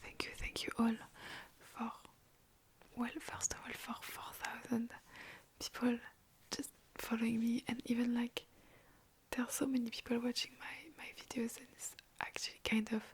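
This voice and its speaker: A young woman from France with a French accent, whispering softly and chattily as she answers viewer questions in an ASMR video from her bedroom, recorded on a phone. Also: a little high, slightly rough. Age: young adult. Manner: soft unvoiced whisper, rambling and chatty. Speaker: ASMR creator.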